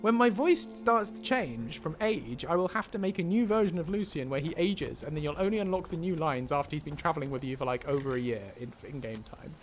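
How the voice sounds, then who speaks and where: A man's voice: fairly high pitch, animated, fairly quick, hardly any pauses. A man livestreaming casually over a game, chatting and thinking aloud as he plays. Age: younger than 50. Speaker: livestreamer, mod creator and voice actor. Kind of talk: casual livestream chat while playing a game. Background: video game music and character dialogue.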